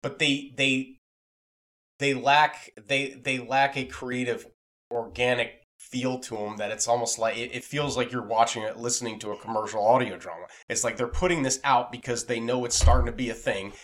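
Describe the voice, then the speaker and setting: A man with a medium-pitched voice chats casually as a co-host. He is opinionated but halting, often repeating words while he works toward his point. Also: fairly even intonation, fairly bright tone. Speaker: podcast co-host.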